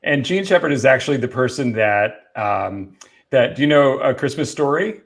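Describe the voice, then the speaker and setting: A man's voice: medium pitch, animated, normal speed, vocal fry. A man hosting a casual panel chat about films, explaining trivia with enthusiasm. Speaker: film talk show host presenting movie trivia.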